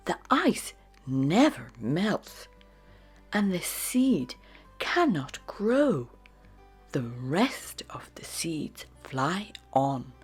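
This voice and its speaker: A woman reading a children's storybook aloud in a breathy voice, with deliberate, expressive delivery. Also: fairly low pitch. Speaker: storybook narrator. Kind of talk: children's read-aloud narration.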